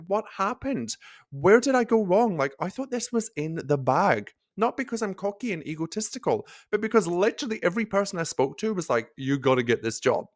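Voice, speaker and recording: A man hosting a casual motivational talk as a nurse coach, expressive and animated, filling his speech with "like". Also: high voice. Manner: expressive, animated, swearing, lots of "like" fillers. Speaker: nurse coach and podcast host.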